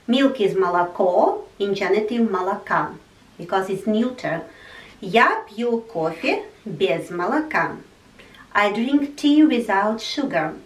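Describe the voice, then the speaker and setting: A woman teaching a language lesson, explaining slowly and patiently and repeating each example sentence. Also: a little high, recorded from a distance. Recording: echoey room, voice off-mic.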